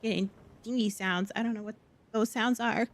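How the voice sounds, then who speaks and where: A woman's voice: fairly high pitch, fairly even intonation, normal speed. A woman casually commentating as she live-streams a game and reads out its quest text. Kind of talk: casual live gameplay commentary, reading quest dialogue aloud. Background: game music.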